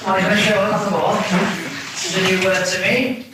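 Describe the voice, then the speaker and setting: An actor playing an impatient, commanding schoolteacher in a 1960s British film drama. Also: male voice, high voice, little pitch movement, moderate pace, close to an everyday voice. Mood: brisk, questioning. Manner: commanding, questioning. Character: brisk, impatient male schoolteacher questioning a pupil. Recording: echoey, noisy old film soundtrack.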